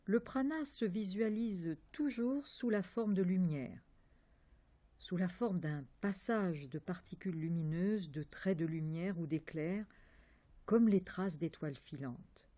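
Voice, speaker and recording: A woman leading a guided yoga meditation, giving calm, measured instructions with pauses between phrases. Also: fairly low pitch, dry recording. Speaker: yoga nidra meditation teacher.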